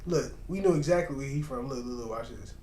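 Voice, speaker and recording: A man hosting a reaction video, talking in a casual, animated, slangy way and repeating himself for emphasis. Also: medium pitch, fast, echoey room, a little hiss or hum.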